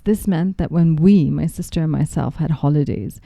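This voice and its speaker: Low, gravelly woman host, very dark tone. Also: moderate pace, dry recording. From Zambia. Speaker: podcast host. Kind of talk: scripted narration.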